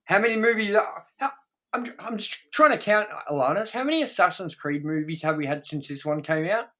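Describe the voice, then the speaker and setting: A man's voice: sits high, expressive, fairly quick, fairly bright tone. A man hosting a casual, sweary movie review show, talking in a sarcastic, exasperated way.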